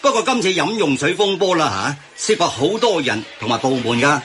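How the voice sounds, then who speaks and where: A man's voice: a little high, very animated, a little fast, a bit bright, a little hiss or hum. A man with a Hong Kong accent acting a well-informed friend who patiently explains news in a radio drama. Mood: reassuring.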